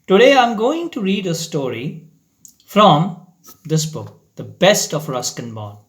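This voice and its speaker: A man reads a short story aloud with deliberate drama. His pace is slow, and his somewhat high voice moves through a very lively range of pitch. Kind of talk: expressive short story reading.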